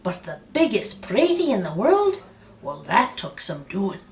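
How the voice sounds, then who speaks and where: Woman as lazy boastful Irish farmer, animated. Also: medium pitch, a little slow, recorded from a distance.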